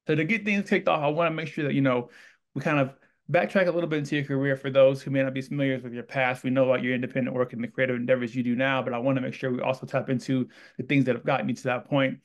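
Fast-talking Texan man hosting. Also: mid-range voice, fairly even intonation. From Texas. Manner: enthusiastic, effusive and rambling. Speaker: host. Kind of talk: interview hosting. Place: indoor studio room with wall art. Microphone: studio mic on boom arm.

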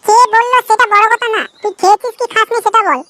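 A man's voice: very high voice, steady tone, fast talker, noisy background. A man voicing a stern, hot-tempered village father in a comedy cartoon, scolding. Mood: angry accusing. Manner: scolding, accusatory. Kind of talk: amateur comedy cartoon dubbing.

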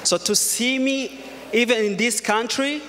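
A man's voice: very high-pitched, a little slow, pushed and bright. A young man from Rwanda giving a hesitant, plain-spoken personal testimony on a church stage with a handheld mic. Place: large church sanctuary, on stage. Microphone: handheld microphone. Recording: long hall reverb, faint noise.

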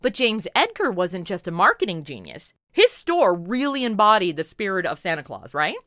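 A woman's voice: medium pitch, big pitch swings, moderate pace, a bit bright, no echo, clean studio sound. An American woman with a perky, chatty, slangy manner, hosting a scripted, playful podcast interview. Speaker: podcast host.

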